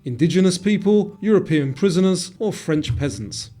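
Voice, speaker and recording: Man, slightly high voice, scripted quiz narration, question-and-answer reading, faint guitar backing. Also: lively intonation, moderate pace, dark tone. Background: guitar music.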